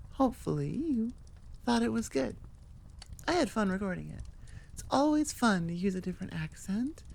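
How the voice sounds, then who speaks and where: A woman's voice: mid-range voice, gravelly, a little mellow. A woman who creates audio roleplays, chatting informally and musing aloud in a rambling, self-deprecating way. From born in England, moved to the US. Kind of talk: casual rambling outro chat.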